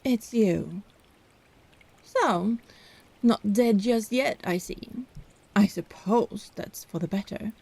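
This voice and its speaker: A woman as arch country healer, teasing, inquisitive, mellow, creaky, fantasy audio drama. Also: medium pitch, slow, long pauses, exaggerated voice. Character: wry, haughty female country healer, sardonic and inquisitive.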